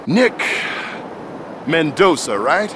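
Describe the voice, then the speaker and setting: A man's voice: on the high side, sing-song, background noise, exaggerated voice. An actor playing a menacing, sardonic crime-boss father in a video game, drawing out his words with mock politeness. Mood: smug menace. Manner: drawn-out, mocking, mock-polite delivery. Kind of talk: video game cutscene acting.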